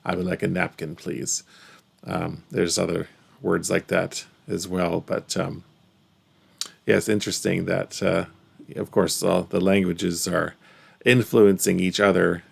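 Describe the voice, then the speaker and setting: Man, English Canadian accent, very deep, heavy vocal fry, relaxed reaction commentary. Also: unhurried. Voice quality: gravelly, low. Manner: thoughtful, musing, trails off softly. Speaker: reaction video host.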